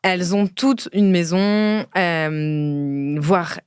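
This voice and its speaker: A woman in her late twenties from Ardèche, a podcast guest who talks thoughtfully with many pauses and fillers. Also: on the low side, clear, resonant tone, dry recording, clean studio sound. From Ardèche, France. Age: late 20s. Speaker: guest, feminist speaker and lawyer. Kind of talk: casual podcast conversation.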